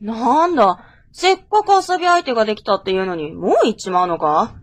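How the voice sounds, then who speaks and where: A woman's voice: medium pitch, lively intonation, fairly quick, close to an everyday voice. Brash, swooping young male crow played by a woman. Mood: taunting. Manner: rough boyish speech. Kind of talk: Japanese radio drama acting.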